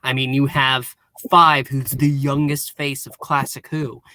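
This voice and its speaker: A man's voice: medium pitch, little pitch movement, a little slow, sharp tone, dry recording. A man chatting casually and animatedly as a panellist on a review show.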